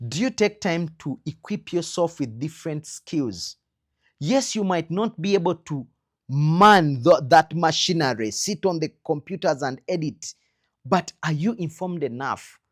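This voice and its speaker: A man's voice: a little high, lively intonation. Ugandan man giving earnest instruction. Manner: earnest advising with rhetorical questions and emphatic pauses. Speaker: trainer in creative production quality control. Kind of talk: instructional talk.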